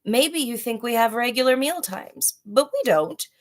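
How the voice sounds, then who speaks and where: A hungry, menacing tiger from a children's storybook, acted out by a woman reader. It taunts its prey and speaks deliberately, relishing the threat. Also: fairly high pitch, animated, voice pushed up. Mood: hungry, eager. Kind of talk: storybook read-aloud.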